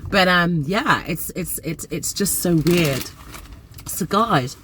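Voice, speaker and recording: A Nigerian-born woman in her forties, casually talking to camera in a chatty, animated way and repeating words for emphasis. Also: lowish voice, slow pace, a little hiss or hum. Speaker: vlogger.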